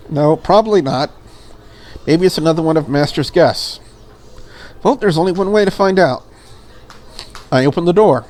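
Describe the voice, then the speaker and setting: A man's voice: on the high side, darkish tone, slight background noise. A man voicing a polite, curious, slightly lonely maid in a visual novel, with formal and prim phrasing.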